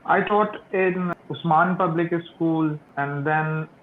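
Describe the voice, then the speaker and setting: A man with a slightly high voice speaks slow, careful learner's English while interviewing over a video call. His voice comes through thin and phone-like, with faint hiss underneath. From Pakistan. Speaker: interviewer and channel host. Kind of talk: English practice conversation. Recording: tinny phone-like call audio.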